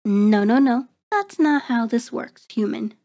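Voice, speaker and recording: A woman playing a seductive, predatory vampire in an ASMR roleplay, soft and breathy with a coaxing, commanding manner, often slipping into a whisper. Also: on the high side. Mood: commanding, menacing. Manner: slow, deliberate, coaxing, controlling.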